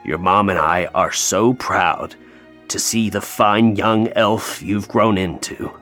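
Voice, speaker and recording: An actor playing a bitter, grieving North Pole elf in an audio play, sarcastic and emotionally open. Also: male voice, fairly low pitch, steady tone, slow pace, a bit bright, faint music behind. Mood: tender, moved.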